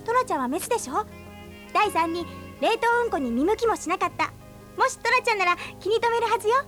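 A woman's voice: very high voice, animated, a bit bright, soft background music. An actress playing a spirited, stubborn young woman in a radio drama, arguing her case insistently. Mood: animated. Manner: quick-witted, debating, insistent. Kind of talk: 1990s radio drama acting.

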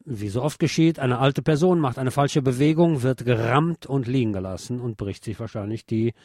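A man's voice: medium pitch, normal speed, darkish tone, no room sound, studio quality. A man reading scripted radio news and commentary, adding opinionated asides of his own.

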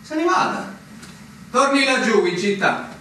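An actor playing a brooding, world-weary army officer in a theatrical radio drama, solemn and declamatory with slow dramatic pauses. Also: male voice, extremely high pitch, very animated, pushed and bright, distant mic, slight background noise, exaggerated voice. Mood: weary, resigned. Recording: echoey stage recording from afar, music behind.

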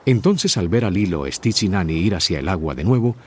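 A man's voice: low voice, lively intonation, brisk, a little hiss or hum. A man narrating a scripted children's audio story.

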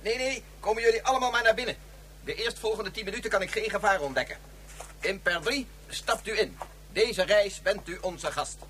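A man's voice: high voice, moderate pace, fairly bright tone, slight background noise. An actor playing a hesitant male scientist and father in a radio play. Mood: hospitable. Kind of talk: 1970s radio drama acting.

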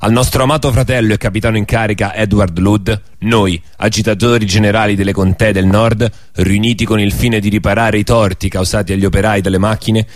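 Low, dry-voiced solemn man acting a rebel leader. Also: fairly even intonation, a little fast, hardly any pauses, dry recording, natural voice. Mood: solemn, accusing. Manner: formal, solemn, declamatory. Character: grave male rebel leader reading a workers' declaration. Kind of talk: radio drama reading.

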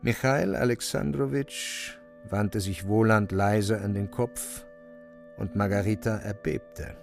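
A man's voice: fairly low pitch, steady tone, takes it slowly, vocal fry, soft background music. A man narrating a literary radio play in a scripted storytelling style.

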